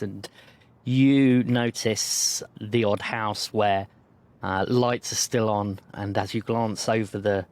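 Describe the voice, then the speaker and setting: A man narrating a tabletop game as game master, in a relaxed, measured way. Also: fairly low pitch.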